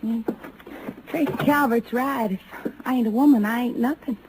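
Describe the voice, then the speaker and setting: A woman's voice: a little high, moderate pace, close to an everyday voice. An actress playing a prickly, proud young frontier woman with blunt rustic speech in an old-time radio Western. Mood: shy, awkward. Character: rough-edged, prickly young frontier cowgirl, shyly proud. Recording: vintage radio recording with faint noise.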